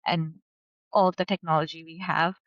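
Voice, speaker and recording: A woman's voice: sits low, fairly even intonation, normal speed, no room sound, dull audio. A young adult woman hosting an interview in a friendly, informal way over a video call. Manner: friendly, informal, with filler words. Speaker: interviewer, podcast host. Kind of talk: casual interview.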